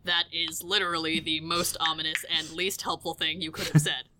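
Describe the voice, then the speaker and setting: A man's voice: on the high side, level tone, moderate pace, noisy background, close to an everyday voice. A man playing a terse male team leader with a dry, sarcastic manner in an audio drama. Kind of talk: hobby audio drama acting.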